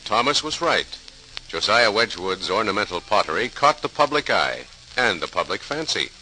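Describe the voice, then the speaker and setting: An actor as an assured, emphatic storytelling narrator in a 1940s radio drama, on a noisy vintage recording. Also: male voice, on the low side, sing-song, normal speed, music in the background.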